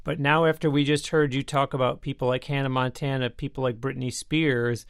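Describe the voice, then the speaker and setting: A man's voice: mid-range voice, level tone, smooth, no room sound, clean studio sound. A man hosting an interview, asking long, carefully framed follow-up questions. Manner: measured, long framing questions. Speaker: interviewer, host.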